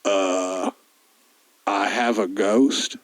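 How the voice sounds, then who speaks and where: A man's voice: lowish voice, fairly even intonation, drawn-out words, dry recording. A man playing a puzzled, hesitant caller on a comedy radio phone-in, questioning and casual. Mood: unsure.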